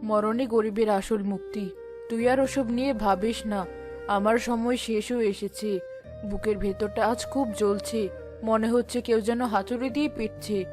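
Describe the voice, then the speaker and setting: A woman acts out a sick village mother in a cartoon tale, fatalistic yet fiercely protective. Her voice sits rather high and plaintive, with soft music underneath. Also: fairly even intonation, normal speed, natural voice. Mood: despairing. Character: poor, gravely ill village mother, despairing, protective. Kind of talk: cartoon drama dialogue.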